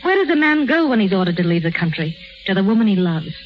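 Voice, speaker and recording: An actress playing a plain-spoken, lovelorn duchess of common birth, halting and emotional, in 1930s radio style. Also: female voice, mid-range voice, very animated, slight background noise, lightly acted. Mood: earnest, defiant. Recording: muffled 1930s radio broadcast.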